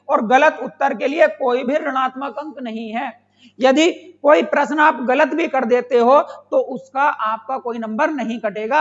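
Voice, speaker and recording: A man's voice: extremely high pitch, a bit bright. A man who is an exam coaching teacher explains to students, repeating phrases and asking questions that he answers himself. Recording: dull lo-fi sound.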